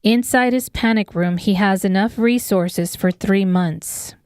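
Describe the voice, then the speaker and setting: Smooth, measured woman narrator. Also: medium pitch, darkish tone, no room sound, studio quality. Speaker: author narrating her audiobook. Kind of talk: scripted story narration.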